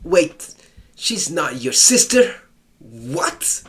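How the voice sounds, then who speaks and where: A man's voice: on the high side, very animated, crowd noise. Joking man reacting to a game. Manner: joking, quick asides, stammering reactions. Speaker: let's play host. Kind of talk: casual gameplay commentary.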